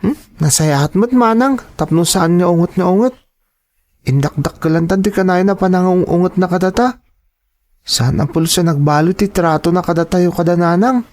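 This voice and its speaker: A woman's voice: lowish voice, normal speed, a little mellow, close to an everyday voice. A woman voicing a studious, trusting schoolchild, the curious younger sibling in an audio drama, with a Filipino accent. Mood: curious, concerned. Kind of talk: Ilocano audio drama acting.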